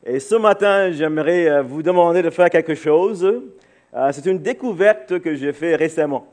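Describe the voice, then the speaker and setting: Higher-voiced man preaching, halting delivery. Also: a little mellow. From Barbados. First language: English. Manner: halting, searching for words. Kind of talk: informal sermon to a congregation.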